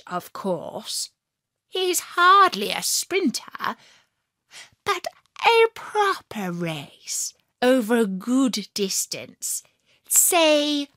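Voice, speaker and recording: A woman's voice: a little high, bright, forceful tone, no room sound, voice pushed up, heavily acted. A woman voicing a sly, know-it-all male fox in a children's story. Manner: slow and knowing.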